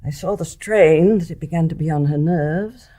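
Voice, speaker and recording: An older woman with an English accent playing a wise, composed, forgiving mother-in-law in a radio drama, measured and frank. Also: deep voice. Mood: reflective, frank. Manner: measured, articulate, gently frank. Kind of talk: stage-play radio drama acting.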